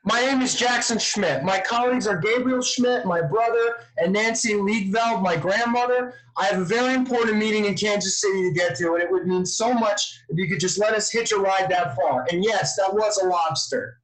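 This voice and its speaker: Long-suffering grandson, high-pitched, serious, dry and exasperated; played by a man at a table read. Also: normal speed, hardly any pauses, a bit bright, poor audio. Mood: earnest.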